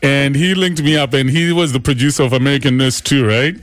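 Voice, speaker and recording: A man hosting a radio show, interviewing a guest in an excitable, announcer-like way. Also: medium pitch, brisk, no echo. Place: radio studio.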